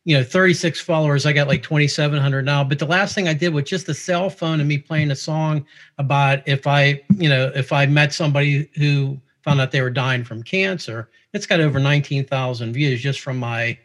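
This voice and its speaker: A man's voice: fairly high pitch, steady tone, a little fast, a bit bright. A man with a Pittsburgh accent, a guest musician chatting casually in a rambling way and chuckling often. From Pittsburgh, USA. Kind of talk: casual chat.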